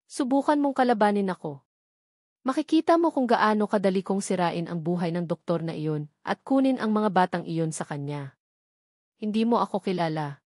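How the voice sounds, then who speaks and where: A woman's voice: mid-range voice, normal speed, a little mellow, no room sound, natural voice. A woman narrator plays a domineering older father in a story, with a Filipino accent. The delivery is cold, mocking and threatening, and the sound is dry. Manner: contemptuous, threatening.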